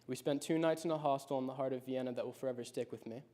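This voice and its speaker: A man's voice: medium pitch, steady tone, warm tone. Reflective teenage boy reading a speech. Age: late teens. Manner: reflective, measured storytelling. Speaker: high school senior giving a speech. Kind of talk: prepared personal speech.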